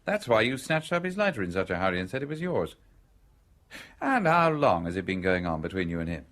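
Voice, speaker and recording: An actor playing a shrewd, coolly sardonic stranger in a radio drama, questioning directly and teasingly. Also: male voice, lowish voice, big pitch swings, a little fast, a bit bright. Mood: probing.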